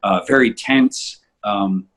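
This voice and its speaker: A man in a casual, halting discussion, speaking appreciatively as co-creator of an audio drama podcast. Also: on the low side, fairly bright tone. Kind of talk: casual discussion of their own work.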